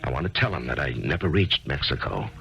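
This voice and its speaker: An actor playing a grieving widower writer in a 1970s radio drama, coaxing in scenes and narrating reflectively in first person. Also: male voice, rumbling low, lively intonation, brisk, vocal fry, bright, forceful tone, exaggerated voice. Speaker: narrator and lead. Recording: muffled 1970s radio broadcast with music underneath.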